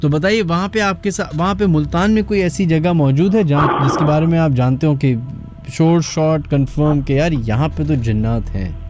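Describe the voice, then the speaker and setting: A man's voice: on the high side, moderate pace, music in the background. A man with a Pakistani accent hosting a radio show, telling stories conversationally and musing aloud with rhetorical questions. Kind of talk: conversational late-night radio storytelling.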